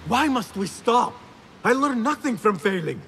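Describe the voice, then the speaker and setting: An actor playing an earnest, eager young apprentice assassin in a video game, with a respectful, formal manner. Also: male voice, very high-pitched, big pitch swings, moderate pace, fairly bright tone, a little hiss or hum, exaggerated voice. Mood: impatient, heated. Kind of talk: dramatic video game acting.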